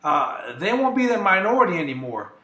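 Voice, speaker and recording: Hesitant man, Greek native, high bright voice. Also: big pitch swings, a little fast, roomy sound. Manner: hesitant, many fillers and restarts. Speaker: guest. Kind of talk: casual radio talk.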